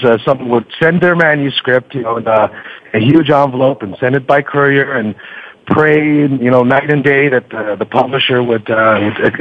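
Male podcast guest, mid-pitched, conversational and a bit halting, on dull radio-style sound. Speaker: podcast guest, book reviewer. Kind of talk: casual interview chat.

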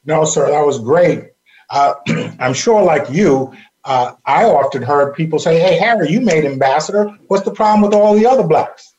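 Polite interviewer guy, slightly higher voice, kinda muffled sound. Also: lively intonation, moderate pace, darkish tone. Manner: polite, respectful. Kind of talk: interview conversation.

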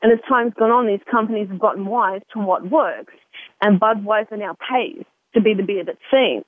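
A chatty woman hosts a radio talk show. She repeats and restarts her words as she goes, at a middling pitch. The sound is narrow and phone-like, with a dry, close feel. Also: lively intonation, normal speed, dry recording.

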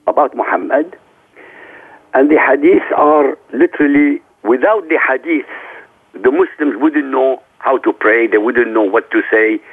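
Middle-aged man from Iraq, native Arabic speaker, gravelly, insistent talk show guest on a phone-quality line. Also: medium pitch. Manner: emphatic, lecturing.

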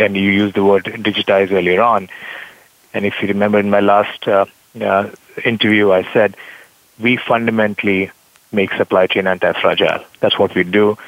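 A man's voice: lowish voice, no echo. A man, an executive guest on a radio show, speaking earnestly with many hesitations. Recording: dull dry lo-fi line.

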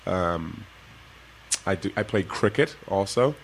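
A man with a fairly low voice tells stories in a relaxed, easy way to young listeners. He laughs now and then as he goes. Faint noise sits behind him. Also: takes it slowly, poor audio. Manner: relaxed storytelling, laughs often. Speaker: guest, former pro basketball player. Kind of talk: casual interview talk to kids.

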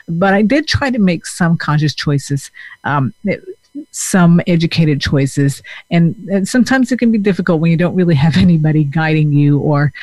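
A man, a therapist talking in a casual, reflective way with many pauses and fillers. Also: fairly high pitch, darkish tone, no room sound, low-quality recording. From Denmark. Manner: thoughtful, hesitant with many fillers.

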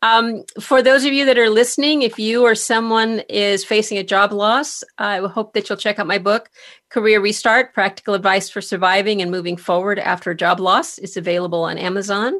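A woman's voice: medium pitch, steady tone, brisk, a bit bright. A woman hosting a conversational interview in an encouraging, appreciative manner. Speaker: podcast host and career author.

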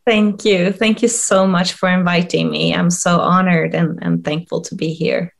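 A middle-aged woman from Sweden with a Swedish accent, answering interview questions hesitantly with many pauses and restarts. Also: lowish voice, steady tone, creaky. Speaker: guest, coach and HR expert.

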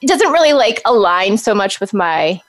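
A woman guest in a casual interview, with a high-pitched, girlish voice, talking in a bubbly way and laughing often. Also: big pitch swings, normal speed, dry recording.

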